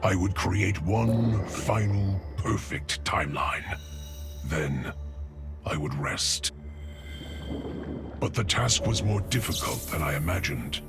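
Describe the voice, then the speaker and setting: An actor playing a weary immortal warrior who longs for rest, speaking in a brooding, tired way. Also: male voice, low-pitched, lively intonation, slow pace, gravelly, long pauses, music in the background, full character voice. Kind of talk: game cutscene narration.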